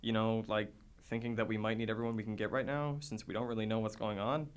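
A man's voice: on the low side, little pitch movement, fast, clear, resonant tone, close to an everyday voice. A young male actor playing a sarcastic but reasonable teenage boy in a radio drama, talking in casual slang. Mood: thoughtful. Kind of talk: youth radio drama acting.

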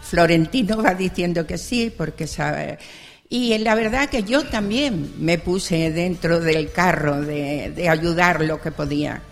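A woman's voice: fairly low pitch, vocal fry. An older woman from Extremadura sharing her story into a handheld microphone at a public event, repeating phrases in an earnest, chatty way. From Extremadura, Spain. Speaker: audience member, senior university student. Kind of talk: spontaneous testimony at a public event. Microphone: handheld microphone passed around. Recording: hall reverb with faint music.

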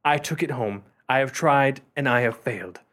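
A man playing a pompous, competitive antiquities collector in a radio play, with formal, wordy, deliberate delivery. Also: mid-range voice, a bit bright, natural voice. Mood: self-important, recounting.

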